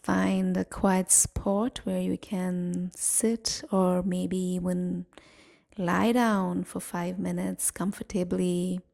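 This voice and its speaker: A woman leading a guided meditation in a soothing, gentle manner, leaving long pauses between short phrases. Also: on the low side, little pitch movement, creaky. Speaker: meditation guide and series host.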